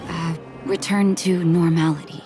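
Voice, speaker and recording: A woman playing a kindly, reflective doctor in a video game, speaking in a gentle, thoughtful way. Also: on the low side, steady tone, over music. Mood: gentle, hopeful. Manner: gentle, thoughtful, measured, soft laughs and sighs.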